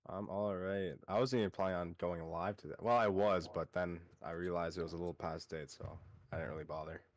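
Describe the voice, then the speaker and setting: Male guest, very low voice, easygoing, casual, dry. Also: steady tone, a little fast, no echo. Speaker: guest streamer. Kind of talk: casual live-stream chat.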